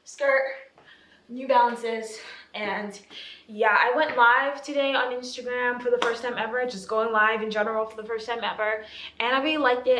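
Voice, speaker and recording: A young woman in her twenties chatting casually to camera in a vlog, animated and laughing often. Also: on the high side, reverberant. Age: 20s. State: hoarse, losing her voice. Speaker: vlogger, law student. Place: apartment bedroom, indoors.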